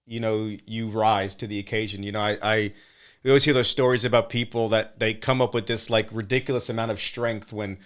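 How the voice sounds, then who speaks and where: A man hosting a podcast, upbeat and relaxed, with many fillers and repeated words. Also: lowish voice, a little fast, clear, resonant tone. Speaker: podcast host. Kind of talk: casual interview chat.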